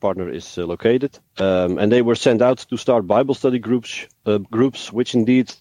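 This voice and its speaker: A man's voice: fairly low pitch, moderate pace, warm tone, no echo. A man answering as an interview guest, explaining things in a careful, somewhat hesitant conversational way. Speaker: interview guest, ministry worker.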